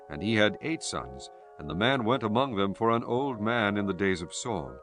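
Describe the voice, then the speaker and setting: A man giving a solemn, measured dramatized narration of scripture as a storyteller. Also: on the low side.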